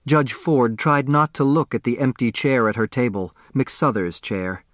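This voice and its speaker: A man reading a novel as an audiobook narrator, with dramatic, expressive scripted delivery. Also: medium pitch, measured pace, dry recording, clean studio sound.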